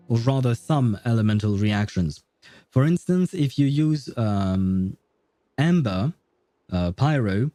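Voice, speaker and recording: A man's voice: mid-range voice, dark tone, no room sound. A man livestreaming a game, explaining and commenting in a casual, informal, opinionated way. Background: game music.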